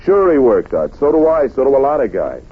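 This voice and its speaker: An actor playing a stern, exasperated best friend, blunt and preachy, in an old-time radio drama. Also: male voice, mid-range voice, very animated, a little fast, husky. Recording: dull vintage radio recording.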